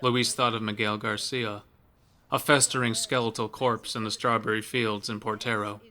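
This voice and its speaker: Male horror narrator, fairly deep with a sharp bright edge, scripted storytelling. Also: flat delivery, moderate pace.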